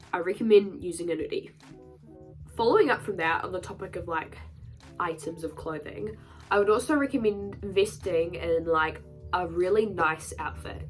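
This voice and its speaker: Stuffy-nosed young New woman. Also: on the low side. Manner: chatty and earnest, many "like" fillers. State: stuffy nose from a cold. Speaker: vlogger. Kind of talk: casual talk to camera. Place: sunny room at home. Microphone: camera a little way back.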